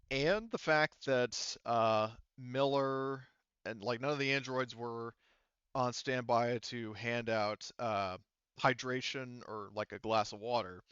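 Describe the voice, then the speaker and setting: A man speaking as game master, explaining a tabletop roleplaying game in a casual, halting way. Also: mid-range voice, fairly bright tone, clean tone, no echo, lo-fi sound.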